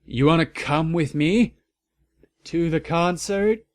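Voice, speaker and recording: A man's voice: on the high side, slow, no echo, pitched above the performer's own voice. A man voicing a laid-back goth teenage boy with a casual, coaxing manner in a story reading.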